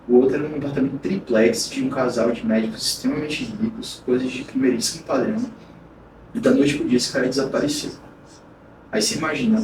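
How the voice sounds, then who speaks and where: A man from Bahia, Brazil, talking as a podcast guest and recounting events in a frank, rambling way full of restarts. His voice sits at a middle pitch. It was recorded from a distance in a roomy space with clear noise underneath. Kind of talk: casual interview storytelling. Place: podcast studio. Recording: roomy, distant mic, noisy.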